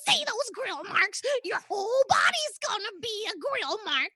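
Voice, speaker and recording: A woman's voice: very animated, a little slow, bright, forceful tone, no room sound, clean studio sound, full character voice. A woman voicing a melodramatic, frantic puppet with a piercing voice in a puppet play. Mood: panicked. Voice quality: shrill, piercing. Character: panicky, dramatic puppet Pika, fearing the garbage truck. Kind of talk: puppet play performed as audio-visual reading.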